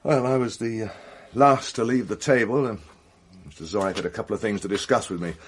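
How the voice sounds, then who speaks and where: A man's voice: on the low side, a little fast, slight background noise, natural voice. An actor playing an evasive but blunt film crew man in a radio drama, hesitant and defensive in colloquial talk. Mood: uneasy.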